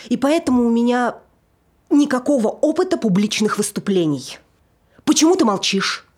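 An actress playing a tipsy, nervous married woman on stage, talking in an awkward, self-justifying way with a husky voice. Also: a little high, animated, moderate pace, darkish tone. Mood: awkward chatter. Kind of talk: naturalistic stage acting, monologue.

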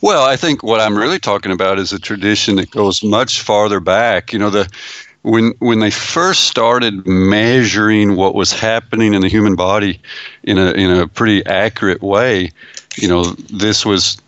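A man's voice: lowish voice, normal speed, fairly bright tone, no room sound, muffled recording. A man in his fifties, a sports science professor, answering interview questions in a thoughtful way with restarts and small laughs.

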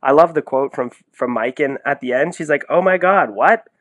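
A man co-hosting a sports podcast, talking in an excitable, effusive, slangy way in casual commentary. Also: on the high side, normal speed.

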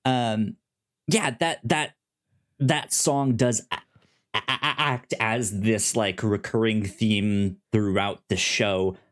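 Casting: podcast co-host, medium-pitched voice, casual TV chat, halting delivery with frequent stammered repeats. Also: fairly even intonation. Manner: stammering, repeats sounds and words.

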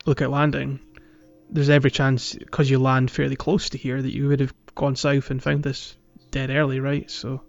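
Casual male games podcaster, medium pitch, halting conversational phrasing, slightly muffled recording, music bed. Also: monotone, creaky. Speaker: podcast host. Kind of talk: casual podcast chat about a video game.

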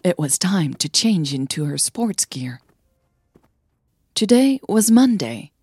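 A woman narrating a scripted audio story as a podcast host, with measured, deliberate phrasing. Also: fairly low pitch, vocal fry, a little mellow, slightly rough, no room sound, studio quality.

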